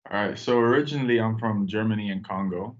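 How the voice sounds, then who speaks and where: A man in his twenties, who grew up in Tanzania, telling his life story in a relaxed, rambling podcast chat that turns lively at times. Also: lowish voice, fast talker. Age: 20s. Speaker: podcast guest member. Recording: dull lo-fi sound.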